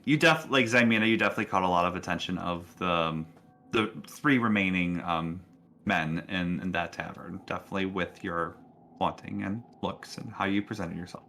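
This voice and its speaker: A man's voice: deep voice, fairly quick, sharp tone, slight background noise. A man working as game master, narrating casually in an easygoing, encouraging way. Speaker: game master of a tabletop roleplaying game.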